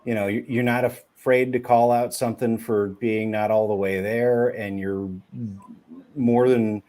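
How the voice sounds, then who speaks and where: A man interviewing a guest in a relaxed, enthusiastic way, with many fillers and quick questions. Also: medium pitch. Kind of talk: casual chat.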